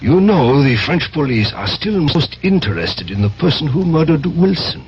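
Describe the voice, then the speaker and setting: A man's voice: on the high side, very animated, moderate pace. An actor playing a cold, commanding male spymaster with veiled menace in a 1970s radio drama. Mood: cold, menacing. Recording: muffled, noisy old radio drama recording.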